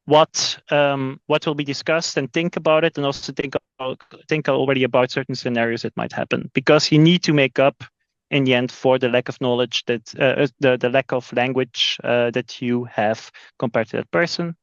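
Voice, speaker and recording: A man's voice: mid-range voice, fairly even intonation, moderate pace, no room sound, muffled recording. A man from Belgium, a sales executive talking as a podcast guest, often repeating words as he restarts his sentences. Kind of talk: casual interview talk.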